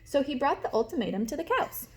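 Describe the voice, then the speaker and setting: A woman teacher reading a storybook aloud to young children, expressively, pausing to explain and ask questions. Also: high-pitched, sing-song, a little mellow.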